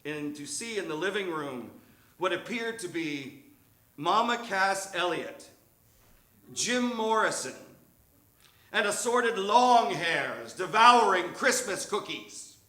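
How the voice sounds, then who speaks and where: A man reading a comic personal story live on stage in a theatrical, measured way. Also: high-pitched, sing-song, bright, forceful tone. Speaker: reader of a humorous memoir story. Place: theatre stage before an audience. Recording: echoey live stage recording.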